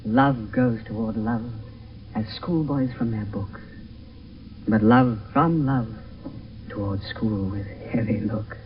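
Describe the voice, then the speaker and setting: A man's voice: on the low side, slow. A British actor playing an ardent, dreamy young nobleman in love, with lyrical verse delivery in classical radio drama. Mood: dreamy longing. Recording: dull, hissy old radio broadcast, distant.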